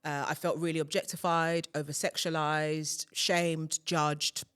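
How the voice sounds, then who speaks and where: A woman's voice: low voice, level tone, a little slow, a bit bright, smooth. A woman in her thirties, a broadcaster and podcast guest, telling her story in candid, chatty talk full of "like". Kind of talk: casual chat.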